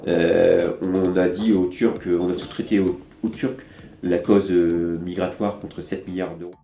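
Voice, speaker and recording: Man, very low voice, mellow tone, hesitant commentary with many fillers. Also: level tone, a little slow, creaky, echoey room, a little hiss or hum. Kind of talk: political commentary in an interview.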